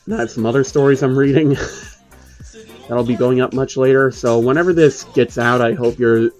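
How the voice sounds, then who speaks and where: A man's voice: mid-range voice, steady tone, normal speed, a little mellow, soft background music. A man narrating fan fiction in an amateur way, with casual spoken asides. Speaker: narrator reading fan fiction on his channel.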